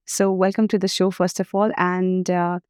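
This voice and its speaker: A woman's voice: fairly low pitch, flat delivery, normal speed, a little mellow, clean tone, no room sound, studio quality. A woman from Nepal hosting a podcast interview, asking friendly, encouraging questions and offering short backchannels. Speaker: podcast host.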